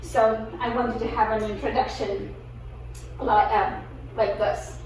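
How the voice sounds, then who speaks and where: A middle-aged woman with a Ukrainian accent gives an informal presentation in a high-pitched voice. She speaks conversationally and haltingly. The sound is very reverberant and picked up from a distance. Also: steady tone, darkish tone. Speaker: guest presenter. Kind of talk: informal personal presentation to a group. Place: echoey indoor room, live presentation. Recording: echoey room, distant mic, faint noise.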